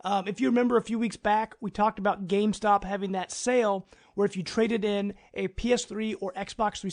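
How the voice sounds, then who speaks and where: A man's voice: high-pitched, vocal fry. A man hosting a gaming news podcast, presenting in an informal, conversational way. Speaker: podcast host.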